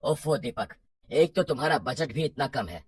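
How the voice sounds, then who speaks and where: A woman voicing a condescending male property broker, matter-of-fact and bossy, in an animated horror story. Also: extremely low pitch, normal speed, husky, voice pushed down, full character voice. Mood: brisk, impatient.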